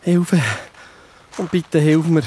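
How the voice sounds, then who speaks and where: Injured, pleading traveller, high voice, halting and groaning, Swiss German accent, slightly noisy recording. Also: male voice, flat delivery, mellow. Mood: desperate pleading. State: in pain. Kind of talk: children's audio drama.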